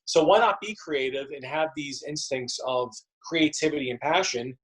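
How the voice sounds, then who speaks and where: A man talking casually as an interview guest, halting and often repeating his words and phrases. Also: on the high side, a bit bright, rough recording. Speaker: interview guest, theater company co-founder.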